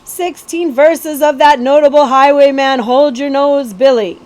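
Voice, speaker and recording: A woman voicing a storybook ballad seller, a man crying his songs for sale in a singsong, drawn-out street call. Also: sits high, a little mellow, voice pushed up. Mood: hawking.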